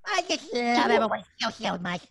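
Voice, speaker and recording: A woman's voice: a little high, very animated, moderate pace, fairly bright tone. A woman voicing a sweet, childlike male puppet chef who talks earnestly and effusively, repeating words with feeling. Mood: tearful, adoring. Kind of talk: in-character live chat.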